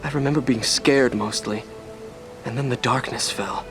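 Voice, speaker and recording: A man's voice: medium pitch, moderate pace, natural voice. Earnest young ranger played by a man, music underneath. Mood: shaken, humble. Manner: modest, sincere, slightly formal. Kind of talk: dramatic fantasy video game acting.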